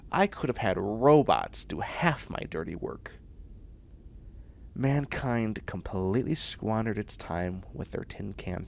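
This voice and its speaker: A man's voice: lowish voice, creaky, exaggerated voice. A man playing a nerdy, self-assured young bioengineering student in a comedic sci-fi audio roleplay, chatty, snarky and boastful. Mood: grumbling. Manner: chatty, snarky, boastful, muttering asides and exclamations.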